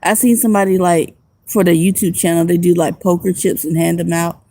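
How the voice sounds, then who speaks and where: A woman's voice: fairly low pitch, flat delivery, brisk, dark tone, a little hiss or hum. A woman who is a homeless vlogger and music producer, chatting casually and rambling in slang on a phone livestream outdoors at night.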